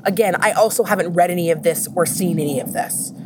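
A woman hosting a casual group discussion, thinking aloud in a halting way and repeating phrases as she reasons. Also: fairly low pitch, background noise. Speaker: podcast host.

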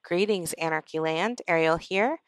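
A woman hosting a podcast conversation, speaking in a measured, halting, thoughtful way. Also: on the low side, clear, resonant tone, no room sound, studio quality. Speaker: podcast host. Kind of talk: conversational interview with reflective remarks.

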